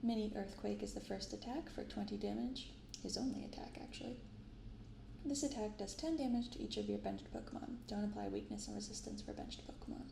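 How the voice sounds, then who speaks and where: A soft-spoken woman with a creaky, fry-edged voice at a middling pitch narrates in an ASMR style, moving between reading aloud and casual commentary. She is picked up in a roomy space with noticeable background noise. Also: brisk. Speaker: host of a card-opening channel. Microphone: binaural microphone.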